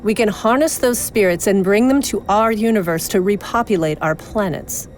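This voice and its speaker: A woman playing a scheming, coolly ruthless otherworldly leader in an audio drama, speaking in a measured, formal, persuasive way. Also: medium pitch, darkish tone, slight background noise, close to an everyday voice.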